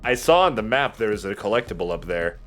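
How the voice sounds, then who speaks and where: A man with a fairly low voice hosts a game playthrough in casual, joking banter. His pitch moves around in a lively, animated way. Game music plays behind him. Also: fast talker. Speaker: let's play host and player. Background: video game music and combat sounds.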